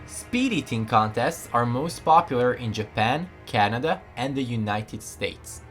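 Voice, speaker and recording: A man reading a scripted voiceover narration with lively storytelling delivery. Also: medium pitch, measured pace, music in the background.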